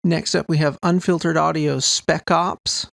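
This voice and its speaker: A man's voice: a little high, steady tone, measured pace, vocal fry, fairly bright tone, slight background noise. A man giving a casual, informal tutorial voice-over, explaining gear with enthusiasm as a sound designer.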